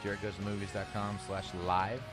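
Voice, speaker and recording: A man co-hosting a live-streamed show, answering in a dry, low-key, joking way. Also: completely flat delivery, a little fast, music in the background. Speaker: co-host. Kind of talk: casual live-stream chat.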